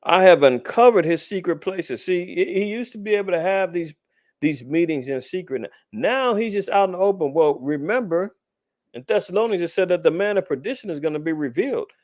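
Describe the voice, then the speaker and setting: A man teaching scripture informally as a podcast host, with conversational streetwise slang and animated asides. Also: sits high, fairly quick, no room sound, studio quality.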